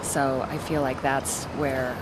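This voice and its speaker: A woman, a stage actress, giving a reflective interview in a thoughtful, halting way as she searches for words. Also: rumbling low, level tone, very noisy.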